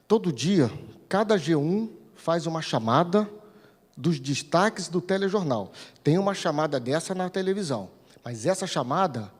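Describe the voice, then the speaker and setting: A Brazilian man with a somewhat high voice presents at a conference. He explains things in an informal, didactic way, posing questions and then answering them. The hall gives his voice a long reverb. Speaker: speaker at a conference, regional TV news editor-in-chief. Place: large indoor conference hall.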